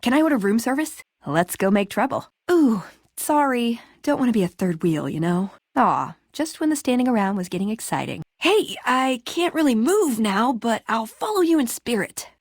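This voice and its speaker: A woman's voice: fairly high pitch, sing-song, moderate pace, hardly any pauses, no echo, clean studio sound. An American actress playing a spirited, sarcastic young woman, a scrappy scavenger and brawler companion in a video game. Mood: wry, playful. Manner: sarcastic, playful, quippy. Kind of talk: video game dialogue acting.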